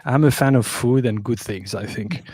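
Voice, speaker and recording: A man's voice: medium pitch, moderate pace, crowd noise. A man with a French accent, a guest on a podcast, speaking casually from his home studio over a video call and rambling with many fillers. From France. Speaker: guest DJ and producer.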